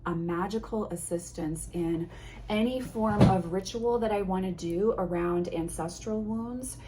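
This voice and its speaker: A woman's voice: mid-range voice, a little slow, very noisy. A woman chatting casually and effusively to her viewers as a tarot vlogger, a little sniffly from allergies. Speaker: tarot content creator. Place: home.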